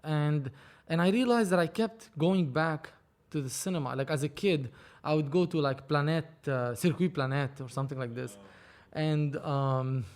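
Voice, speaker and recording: Man, 30s, podcast guest, casual storytelling, animated, rambling, slightly high-pitched. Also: normal speed, hardly any pauses. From Lebanon. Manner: animated, rambling, frequent restarts. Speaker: film producer, podcast guest.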